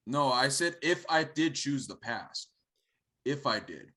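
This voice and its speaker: A playful man banters on a casual podcast, joking and asking questions. His pitch moves a lot, rising and falling freely as he talks. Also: big pitch swings, unhurried, sharp tone.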